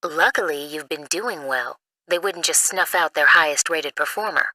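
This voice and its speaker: An actress playing an earnest, businesslike intelligence analyst in a video game, crisp and matter-of-fact. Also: female voice, low voice, normal speed, sharp tone, dry recording, poor audio. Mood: concerned, businesslike. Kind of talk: video game cutscene acting.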